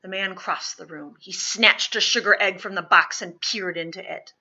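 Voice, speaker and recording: A woman reads a storybook aloud with a bright, forceful edge and a husky grain. She leans into the drama, swelling on key words and dropping softer on others. The recording is a little dull and lo-fi. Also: mid-range voice, normal speed. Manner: dramatic, with emphatic swells and hushed drops. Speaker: storybook reader. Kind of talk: expressive read-aloud of children's historical fiction.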